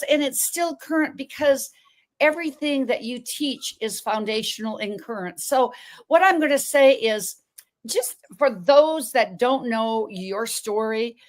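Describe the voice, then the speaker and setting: A woman's voice: high voice, moderate pace. A woman hosting an online talk, chatty and effusive, often restarting her sentences. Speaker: host. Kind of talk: casual interview chat.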